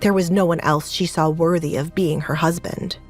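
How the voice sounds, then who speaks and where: A woman's voice: sits low, fairly even intonation, moderate pace. A woman reading scripted narration for an audio drama, with music playing behind her voice. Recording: music under the voice.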